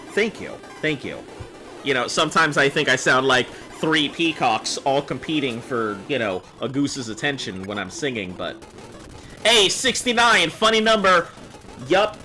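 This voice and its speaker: A man's voice: a little high, bright, forceful tone. A man in his thirties who sounds much younger, chatting casually on a game stream and rambling with fillers. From United States. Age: 30s.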